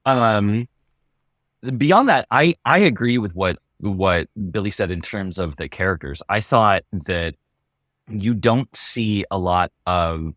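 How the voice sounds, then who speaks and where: Low-pitched man with a halting delivery. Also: fairly bright tone, dry recording, studio quality. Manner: halting, repeats words as he searches for phrasing. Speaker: actor. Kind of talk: casual interview talk.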